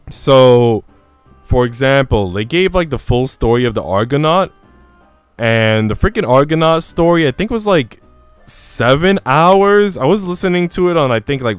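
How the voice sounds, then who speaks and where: A man's voice: mid-range voice, smooth, dry recording. A man streaming casually, chatting and reading in an informal, slangy way. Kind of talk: casual livestream chat and visual novel reading. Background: faint music.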